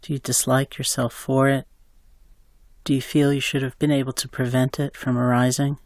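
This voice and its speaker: A man slowly guiding a compassion meditation, calm, with long pauses between phrases. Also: mid-range voice, no room sound, clean studio sound. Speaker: meditation guide. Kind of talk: guided meditation.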